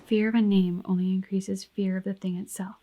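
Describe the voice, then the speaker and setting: A woman's voice: mid-range voice, little pitch movement, fairly quick, mellow. A woman co-hosting a reaction channel, chatting casually as she gives her commentary.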